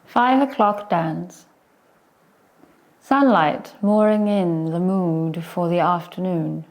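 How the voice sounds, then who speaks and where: Female poetry reader, lower-pitched voice, very unhurried, measured fragments set apart by pauses. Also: a little mellow. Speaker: poet reciting poetry. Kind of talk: slow poetry recitation.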